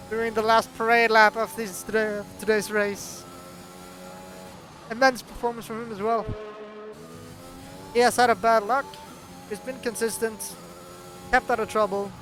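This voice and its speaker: High-voiced Norwegian man commentating a race. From Norway. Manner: chatty, excitable, laughs often. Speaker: sim racing commentator.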